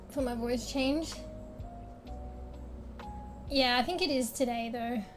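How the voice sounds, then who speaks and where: A young woman streamer, a little raspy today, chatting casually at home into a desk mic, rambling, laughing a lot and swearing now and then. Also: high voice, drawn-out words. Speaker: game streamer. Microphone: desk microphone with fan blowing on it. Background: soft background music.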